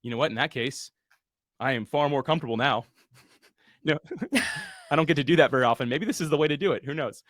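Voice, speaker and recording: A man's voice: a little high, fast talker, long pauses, a bit bright, dry recording, clean studio sound. A man hosting a webinar launch party, speaking earnestly and motivationally with casual fillers. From USA. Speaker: host.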